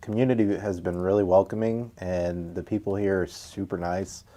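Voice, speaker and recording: A man, a surgeon who grew up speaking Czech, answering interview questions calmly and plainly. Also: low voice, moderate pace. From West Texas. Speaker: surgeon, invited guest.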